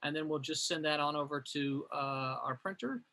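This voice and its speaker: A man's voice: a little high, moderate pace, pushed and bright. A man hosting a casual livestream, chatty and reading out comments from viewers. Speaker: host, comics writer.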